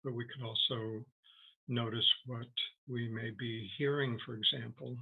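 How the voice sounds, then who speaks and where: A man leading a guided meditation as a teacher, speaking gently and haltingly with long, unhurried pauses. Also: medium pitch, steady tone, vocal fry, breathy edge, phone-quality sound.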